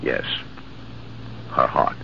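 An actor playing a calm, gently consoling doctor with a soft, soothing manner, in 1940s radio acting on a noisy old recording. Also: male voice, extremely slow, lo-fi sound.

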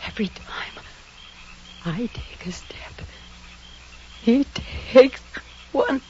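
A woman's voice: fairly high pitch, animated, drawn-out words, dark tone, whispered. An actress playing a terrified young woman alone at night in 1940s radio drama style, breathless and melodramatic as she talks to herself. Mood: anxious, frightened. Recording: noisy, muffled old radio recording.

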